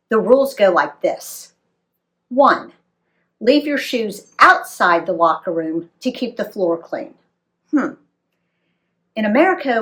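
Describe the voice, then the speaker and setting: A woman reads a story aloud to young listeners at a slow, deliberate pace. Her mid-pitched voice moves up and down freely, giving the narration a dramatic, emphatic shape. Phrases are set out clearly, one by one. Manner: dramatic, animated delivery with emphatic dialogue.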